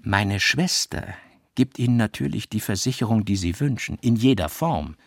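A composed, haughty gentleman in a radio novel, played by a male narrator. He sounds formal and deliberately indifferent. His voice is creaky and husky, in a dry recording. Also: on the low side, animated, no echo. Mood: coolly assertive. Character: haughty, composed brother speaking for his sister.

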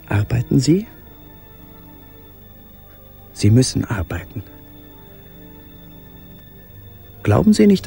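A man voicing his secret letters in a radio play, brooding and full of longing. His voice is mid-pitched, dark and creaky. He places his phrases haltingly and deliberately, with faint music behind. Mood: concerned, wry.

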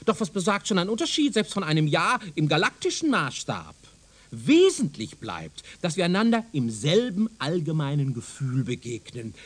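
A pompous professor for a radio play, swoops up and down, husky, super condescending. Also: male voice, fairly high pitch, wildly swooping pitch, normal speed, heavily acted. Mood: dismissive, condescending. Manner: pompous, didactic, declamatory, condescending. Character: pompous, self-assured male professor lecturing on his doctrine. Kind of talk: German radio drama acting.

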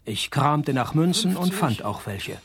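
An actor playing a wry, world-weary private detective, narrating and sparring in dry, laconic deadpan in a radio crime parody. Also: male voice, a little high, moderate pace, vocal fry, husky, heavily acted. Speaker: lead character and narrator.

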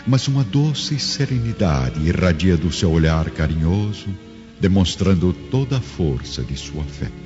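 A man's voice: low voice, very animated, measured pace. A man narrating a radio drama from a script in a solemn, theatrical manner. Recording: dull recording under music.

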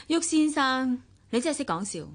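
A woman's voice: sits high, fairly bright tone, full character voice. A woman with a Hong Kong accent acting a weary, proud young wife in a radio drama, with a cutting, sardonic manner. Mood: dry, sardonic. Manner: cutting, sarcastic. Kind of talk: Cantonese radio drama acting, monologue and dialogue.

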